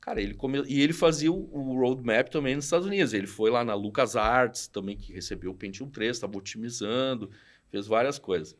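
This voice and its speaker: A man in his forties with a southern Brazilian accent, a podcast guest telling old stories in a slangy, animated way and acting out what people said. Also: mid-range voice, a little fast. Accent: southern Brazilian Portuguese. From Rio Grande do Sul, Brazil. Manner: animated, slangy, acts out quoted dialogue. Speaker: podcast guest, studio founder. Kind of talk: casual storytelling chat.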